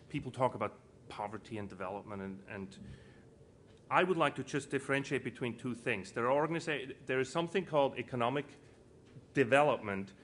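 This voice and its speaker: A man's voice: medium pitch, a bit bright. A middle-aged man from Switzerland giving an informal conference speech, earnest and emphatic, with rhetorical questions and repetition for stress. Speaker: panel speaker, entrepreneur and foundation co-founder. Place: indoor conference panel, live audience. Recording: live event room with clear background noise.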